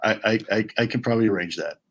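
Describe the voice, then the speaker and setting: Tired, halting man, unwell. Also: lowish voice. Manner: halting, hesitant, thankful. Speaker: guest. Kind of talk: casual sign-off chat.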